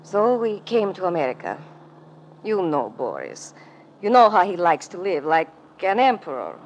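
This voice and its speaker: An actress playing an elegant, wistful ballerina with formal, melodramatic speech in a 1940s radio drama. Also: female voice, fairly low pitch, very animated, normal speed, highs cut off. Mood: weary, resigned. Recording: noisy old radio recording.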